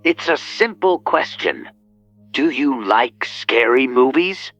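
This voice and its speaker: An actor playing a menacing male killer who calls a radio show, calm and teasing. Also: mid-range voice, muffled recording, soft background music, full character voice. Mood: taunting. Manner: slow, teasing, sinister. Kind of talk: audio drama acting.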